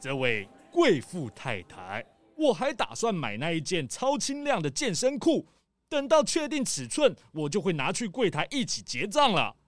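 A man's voice: sits high, huge pitch swings, normal speed, no room sound, clean studio sound, soft background music. Man as a sputtering, indignant scruffy shopper. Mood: defensive. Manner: sputtering, defensive. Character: indignant scruffy male shopper wrongly accused of theft. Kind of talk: children's audio drama acting.

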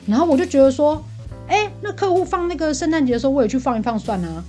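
A woman with a Taiwanese accent in casual, gossipy chat, venting about things in an animated way and mimicking the people she quotes. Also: high-pitched, fast, faint music behind. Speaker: podcast guest venting about work.

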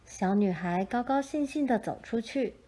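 A woman narrating a children's picture book in a gentle, measured storytelling style. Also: a little high, animated.

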